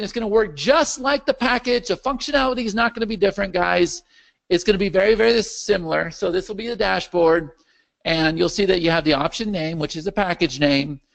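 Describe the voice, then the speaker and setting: A man hosting a live question-and-answer video call for his software's users. His voice is worn from a long day of talking, and he keeps checking that his listeners are following. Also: sits high, creaky. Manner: animated, checks in with the audience often. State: losing his voice. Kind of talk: live webinar Q&A with screen share.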